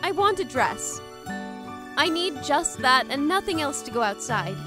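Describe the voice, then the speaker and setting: A woman's voice: sits high, very animated, measured pace. A woman voicing a frugal, frank young female student in a manga dub, with a sweet, polite and matter-of-fact way of talking. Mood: polite, grateful. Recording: music behind the voice. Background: background music.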